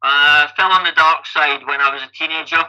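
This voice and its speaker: A man's voice: on the high side, steady tone, fairly quick, pushed and bright, muffled recording. A man in his fifties with a Scottish accent, a podcast guest telling his life story conversationally, often restarting his phrases. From Scotland. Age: 50s. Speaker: podcast guest, amateur endurance athlete.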